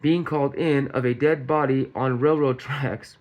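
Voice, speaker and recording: A man with a medium-pitched voice presents news as an informal commentator. He reads aloud in a casual manner, sometimes stumbling, repeating and fixing his words as he goes. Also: fairly even intonation, normal speed, highs cut off. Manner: stumbles over words while reading, repeating and correcting himself. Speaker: host of a commentary channel reading news.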